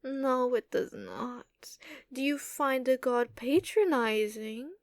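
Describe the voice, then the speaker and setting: Woman voicing a slow, haughty, doting giantess dragon. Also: high voice, no room sound, clean studio sound. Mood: condescending. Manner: unhurried, condescending, teasing, with drawn-out hums. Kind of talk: ASMR roleplay.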